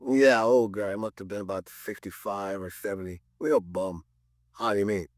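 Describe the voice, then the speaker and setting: A man's voice: on the low side, fairly even intonation, no echo, studio quality, close to an everyday voice. An actor playing a male store manager and robbery victim in a 1950s radio crime drama, talkative, colloquial and a little irked. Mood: rattled, earnest. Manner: colloquial, halting, rambling witness talk.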